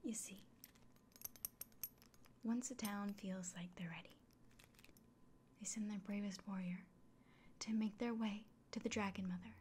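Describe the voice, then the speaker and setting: Whispering, slow-paced kindly innkeeper woman, acted out by a woman. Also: mid-range voice, fairly even intonation, natural voice. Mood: hopeful, earnest. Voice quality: breathy whisper, soft-spoken. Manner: gentle, unhurried, hesitant pauses, fussing over the listener. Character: kindly, hospitable village innkeeper woman offering a quest. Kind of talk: whispered ASMR fantasy roleplay. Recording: close soft recording with clear background noise.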